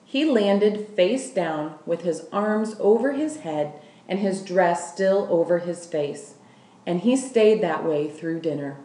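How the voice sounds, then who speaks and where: A woman's voice: lowish voice, lively intonation, slow, mellow, distant mic. A woman reading a children's story aloud as scripted narration. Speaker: school staff member reading aloud. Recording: echoey room.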